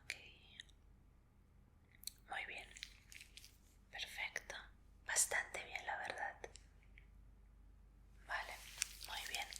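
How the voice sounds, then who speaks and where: A woman in an ASMR roleplay as a medical examiner, speaking in a breathy whisper with a gentle, polite, reassuring manner. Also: extremely slow, pauses often.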